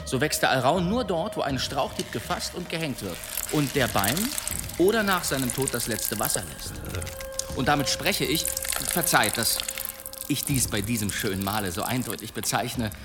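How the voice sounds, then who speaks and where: A man's voice: mid-range voice, animated, normal speed, lightly acted. A man acts out a swaggering demon hunter in a radio drama. He spins tall tales with florid pomp and a dramatically swinging melody, over prominent music. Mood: ominous, theatrical. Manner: theatrical, florid, pompous storytelling. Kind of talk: German radio play acting.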